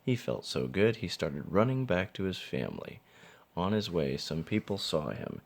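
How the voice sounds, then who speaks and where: A man's voice: low-pitched, very animated, moderate pace, gravelly. A man reading a children's storybook aloud as narrator. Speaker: father reading a picture book.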